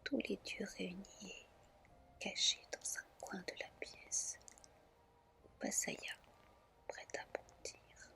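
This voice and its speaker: A woman reading a tale aloud in a soft, soothing ASMR style, with deliberate phrasing. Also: mid-range voice, creaky. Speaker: storyteller, ASMR reader.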